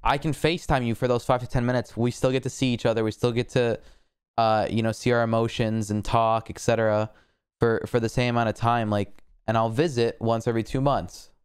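A young man hosts a casual podcast chat, talking loosely with plenty of slang and jokes. His voice comes through very smooth and clear on a close boom mic. The sound is dry, with no room around it. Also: flat delivery, dry recording. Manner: casual, slangy, quick to joke. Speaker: podcast host. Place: home living room with a flag on the wall. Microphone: boom mic on arm close to mouth.

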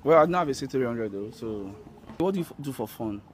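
A man's voice: medium pitch, fast talker, slight background noise. A young Nigerian man with a handheld mic, interviewing people outdoors in a playful, teasing comic style. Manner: playful, teasing, animated questioning. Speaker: street interviewer. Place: outdoor terrace.

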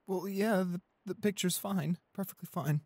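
A man playing a shy, anxious, devoted young boyfriend in an intimate roleplay audio, speaking softly and hesitantly with small stammers. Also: sits high, normal speed, no echo. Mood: apologetic, uncertain. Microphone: close studio condenser microphone.

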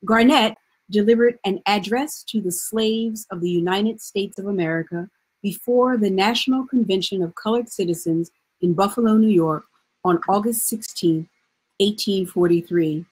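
A middle-aged woman presenting scripted historical narration in a measured, formal way. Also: medium pitch, darkish tone, slightly rough, rough recording. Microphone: video call.

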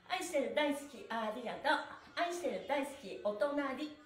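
Upbeat older woman teaching stretches, high voice, echoey little room. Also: expressive, normal speed. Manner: cheerful, upbeat, sing-song cues. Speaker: stretch and mime instructor. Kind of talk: live-streamed exercise class. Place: small room with a floor mat. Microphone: camera a few feet away.

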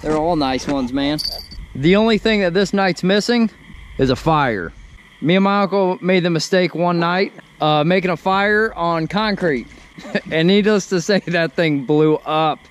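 A man's voice: a little high, a little slow. A man, giving casual commentary while catching catfish on a riverbank at night. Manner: excited, talks while reeling in fish. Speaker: fishing vlogger. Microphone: action camera, sometimes far.

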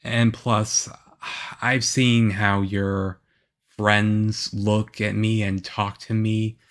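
A man playing a shy, moody, lonely young half-vampire boyfriend in an ASMR roleplay, with a hesitant, halting, earnest delivery. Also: lowish voice, little pitch movement, a bit bright. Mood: wistful, earnest.

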